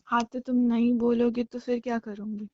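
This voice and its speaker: A clingy, jealous young girlfriend played by a woman argues in a fairly high voice. She is sulky, insistent and pleading, in a casual romantic call drama. The recording is slightly dull and lo-fi, like a phone call. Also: little pitch movement, normal speed, lightly acted. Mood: sulky, hurt.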